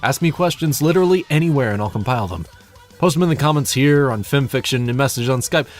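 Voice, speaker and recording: A man's voice: medium pitch, lively intonation, quick pace, faint music behind. A young man giving a casual but scripted channel update in a wry, self-deprecating, sweary way. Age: young adult. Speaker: hobby narrator and audio play director giving a channel update.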